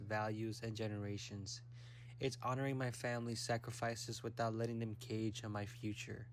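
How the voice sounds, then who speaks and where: A young man from the United States giving a reflective, measured narration for a personal documentary. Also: mid-range voice, a little hiss or hum.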